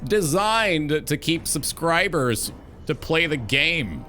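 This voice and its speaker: Bright-voiced, blunt man hosting a talk show. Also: a little high, sing-song, slow, faint music behind. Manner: emphatic, blunt, sweary. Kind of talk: opinionated gaming talk show monologue.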